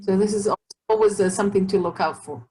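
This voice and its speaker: A woman's voice: mid-range voice, quick pace, mellow, rough recording. A middle-aged woman oncologist with an Israeli accent, answering questions in an online talk, hesitant with many fillers and restarts. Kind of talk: expert webinar answering questions.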